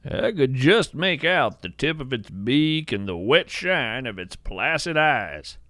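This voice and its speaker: Man acting as earnest podcast naturalist, measured poetic narration, very lively intonation, dry studio sound, full of wonder. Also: medium pitch, no echo, full character voice. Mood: hushed wonder. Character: awestruck bearded male naturalist who studies strange creatures. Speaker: host and narrator of a fiction podcast. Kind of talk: scripted first-person narration, storytelling.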